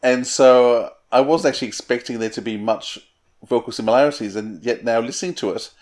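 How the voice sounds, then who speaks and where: A man with a medium-pitched voice acts as interviewer. He speaks conversationally, in an analytical way, working out his thoughts as he phrases them. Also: fairly quick, darkish tone.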